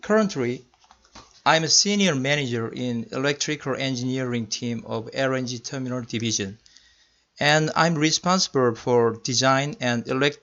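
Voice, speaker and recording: A man with a Korean accent delivers a technical slide lecture at a medium pitch. He speaks carefully and deliberately, as a non-native speaker would. The recording sounds muffled and lo-fi. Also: fairly bright tone. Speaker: senior electrical engineering manager. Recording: muffled lo-fi voice-over.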